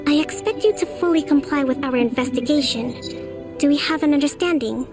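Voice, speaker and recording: A woman voicing a calm, composed island leader. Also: high voice, lightly acted. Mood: firm. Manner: measured, calm, formal. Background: background music.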